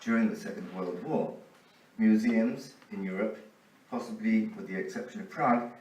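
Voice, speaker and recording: A man's voice: lowish voice, moderate pace, darkish tone, a little hiss or hum. A man giving an academic conference talk with dry humor, reading a colleague's paper. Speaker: conference speaker. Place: conference hall. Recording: roomy hall, voice from a distance.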